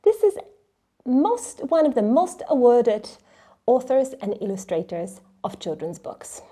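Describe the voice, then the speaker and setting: A middle-aged woman hosting a children's read-along, telling stories in an expressive, dramatic way that sometimes drops into a hush. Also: on the high side, measured pace. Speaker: host of a children's read-along and craft show. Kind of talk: animated storytelling for children.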